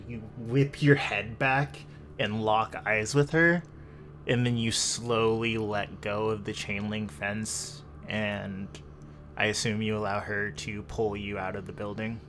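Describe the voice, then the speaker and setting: A man narrating a tabletop horror roleplay as game master, with deliberate, descriptive storytelling. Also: lowish voice, steady tone, sharp tone, slight background noise. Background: clear background music.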